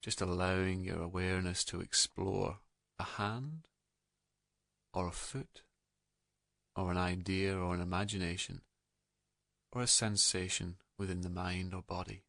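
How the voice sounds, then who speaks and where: Calm guy doing guided meditation, real low voice w some fry, gentle and soothing, super dry close sound. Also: measured pace, pauses often, no echo. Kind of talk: slow guided meditation, hypnotic narration.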